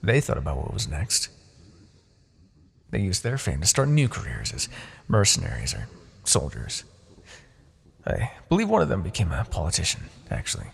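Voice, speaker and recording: A man playing a smug, seductive, possessive Demon King in a fantasy ASMR roleplay, with a gravelly, breathy voice and theatrical, taunting delivery. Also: low-pitched, huge pitch swings, exaggerated voice. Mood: mocking, condescending. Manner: theatrical, taunting, drawn-out phrasing with soft asides.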